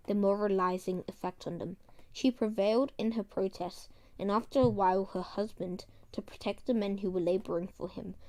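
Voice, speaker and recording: Mellow-voiced woman reading aloud haltingly. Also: mid-range voice. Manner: halting, stumbles over words. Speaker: guest reader.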